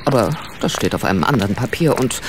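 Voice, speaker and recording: A woman's voice: very deep voice, animated, quick pace, background noise. An actress playing a patient, teasing older lady in an audio drama, well-spoken and playfully ironic. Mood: earnest. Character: patient, teasing widowed lady, charitable friend of sleuth. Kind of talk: German audio drama acting.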